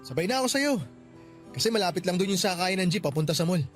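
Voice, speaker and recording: A man's voice: high-pitched, expressive, quick pace, soft background music. A man playing a friendly, helpful young office worker in radio-drama dialogue, chatty and given to teasing.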